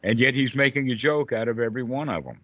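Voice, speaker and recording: An older man speaks as a meditation teacher in an informal group talk. His voice is bright and forceful, and his manner is jovial and colloquial, often checking in with a short question. The sound is close and dry but a bit dull, like online call audio. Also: mid-range voice, fast talker, no echo.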